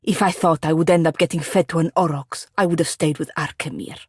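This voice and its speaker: A woman's voice: deep voice, fairly even intonation, fairly quick, slightly rough, dry recording. A woman playing a proud, long-suffering wizard's apprentice in a video game, with formal wording and dry, biting sarcasm. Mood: dry, sardonic. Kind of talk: video game character voice acting.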